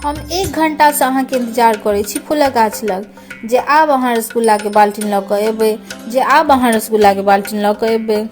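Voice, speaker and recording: A woman's voice: on the high side, moderate pace, darkish tone, lightly acted. A woman voicing a demanding, reproachful young village sweetheart in a comic cartoon, with a Maithili accent. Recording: music behind the voice.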